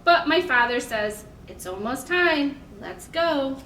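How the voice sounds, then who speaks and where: A high-pitched American woman speaks in an echoey room with faint background noise. She leads toddler storytime, addressing small children in simple, encouraging phrases and questions. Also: lively intonation, slow, a bit bright, distant mic. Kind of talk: picture-book reading and sing-along for toddlers.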